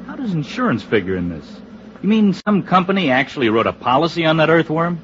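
A flippant showbiz employee in a fifties radio play. His slightly high voice swings widely, glib and mockingly grand. The old recording sounds muffled. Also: big pitch swings, fairly quick, a little hiss or hum. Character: flippant, sarcastic male show-business employee. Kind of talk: 1950s radio drama acting.

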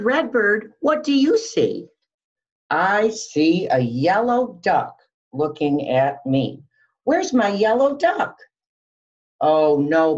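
A middle-aged woman reading a picture book aloud to young children, sing-song and full of questions, on a webcam microphone at home. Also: lowish voice. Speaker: school behavior specialist reading to young children. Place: small room at home, indoors. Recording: dull lo-fi webcam sound.

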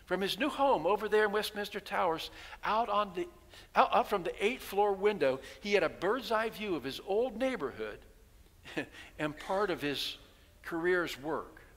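A man's voice: sits high, expressive, a little slow, a bit bright. An older man in his sixties preaching a sermon from the pulpit, telling stories and asking his congregation rhetorical questions. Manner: storytelling preacher, rhetorical questions, voicing townspeople. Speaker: pastor. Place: large church sanctuary, at pulpit. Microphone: headset mic. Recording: long reverb of a church hall.

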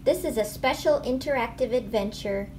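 A middle-aged woman reading a picture book aloud for children, with deliberate, animated enunciation. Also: a little high, slight background noise, poor audio. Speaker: read-aloud storyteller. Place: small domestic room indoors.

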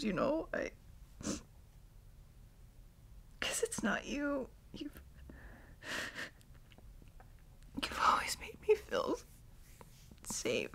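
A woman playing a tearful, insecure girlfriend in an ASMR roleplay, crying and speaking in halting, breathy, self-deprecating phrases. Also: high voice, full character voice. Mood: hurting, tearful. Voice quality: breathy, whispery. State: crying, sniffly. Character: tearful, insecure young girlfriend seeking comfort.

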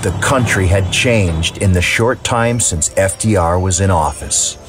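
A man's voice: low-pitched, unhurried, over music. A man reading scripted documentary narration as the narrator.

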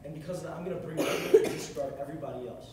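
A man's voice: a little high, rapid-fire, a crowd in the background. A young man giving a short, earnest sermon from a stage, repeating phrases for emphasis, recorded from across a large hall. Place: large carpeted hall, on a stage at a music stand. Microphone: far camera across the room. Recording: echoey hall from far off, with noise.

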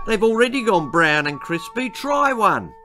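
Man putting on an urgent, high-voiced English doctor. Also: very animated, moderate pace, over music. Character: alarmist doctor in a grotesque children's tale.

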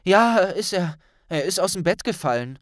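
A man's voice: high-pitched, animated, moderate pace, no echo, studio quality, pitched above the performer's own voice. A man voicing a concerned, questioning young witch, a female friend, in an audiobook. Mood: matter-of-fact. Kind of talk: audiobook character voice.

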